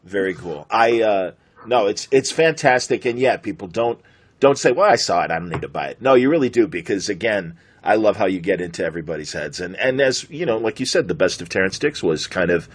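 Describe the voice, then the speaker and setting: A middle-aged American man hosts an interview podcast in an easy, conversational style. His voice is husky and rough-edged, and he talks with a fan's open enthusiasm. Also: on the low side, lively intonation, a little fast. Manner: enthusiastic, fannish. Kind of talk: casual interview chat.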